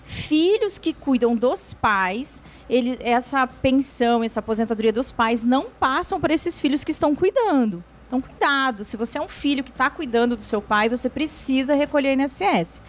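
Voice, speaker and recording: A woman's voice: high-pitched, lively intonation, normal speed, few pauses. A woman lawyer answering viewer questions on a TV show, explaining patiently with frequent tag questions and restarts. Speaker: lawyer, guest expert.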